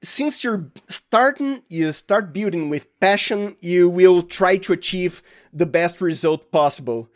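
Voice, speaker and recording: A man's voice: high-pitched, lively intonation, unhurried. A man with a Brazilian accent, speaking casually as an interview guest in a hesitant, stop-start, giggly way. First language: Portuguese. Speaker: podcast guest, sound designer.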